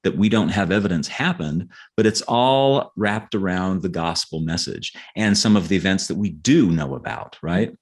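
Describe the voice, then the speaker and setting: A man's voice: deep voice, animated, moderate pace, few pauses. A middle-aged man chatting earnestly as an interview guest, often repeating words and checking in with "right?". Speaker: author guest. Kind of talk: casual interview chat.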